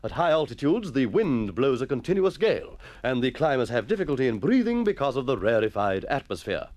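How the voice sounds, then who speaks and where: A stern, pompous schoolmaster, as a male narrator puts him on, quick to irritation, lecturing with a lively rise and fall. It is a storybook reading with a close, dry sound. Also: mid-range voice, no echo, natural voice.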